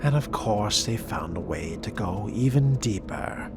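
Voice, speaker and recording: A man voicing a refined, jaded vampire in a video game roleplay, speaking in formal, haughty phrases with a breathy, often near-whispered delivery. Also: lowish voice, expressive, noisy background. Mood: dry musing. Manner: haughty, measured, formal wording. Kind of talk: in-character game roleplay monologue.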